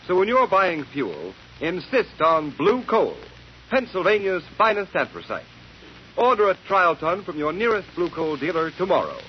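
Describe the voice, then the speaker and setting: A man delivers formal vintage radio announcements with emphatic phrasing. His voice is fairly high and very animated, on a muffled old broadcast recording. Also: a little hiss or hum. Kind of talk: 1930s radio advertisement announcing.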